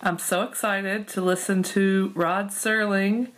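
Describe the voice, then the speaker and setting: A woman's voice: mid-range voice, steady tone, slow, a bit bright. A woman co-hosting a podcast in a casual, chatty style. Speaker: podcast co-host.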